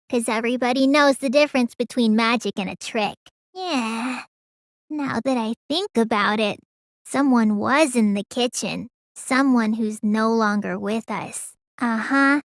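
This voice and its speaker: Casting: teenage girl mage in a video game; childlike, petulant, proud, slow listless drawl, bright dry sound. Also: fairly high pitch, no echo. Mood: matter-of-fact. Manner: childlike, listless drawl with sudden excitable bursts. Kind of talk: English video game voice acting.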